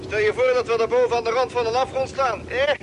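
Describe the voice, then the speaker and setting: A man's voice: level tone, fast talker. An actor playing an anxious space crewman on a Mars expedition in an old radio drama. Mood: apprehensive. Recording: noisy old radio drama, motor rumble.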